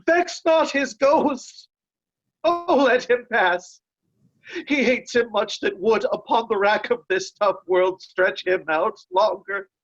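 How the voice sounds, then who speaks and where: A woman's voice: a little high, takes it slowly, muffled recording. A woman playing a loyal, sorrowful nobleman and servant in a Shakespearean play reading. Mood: grieving.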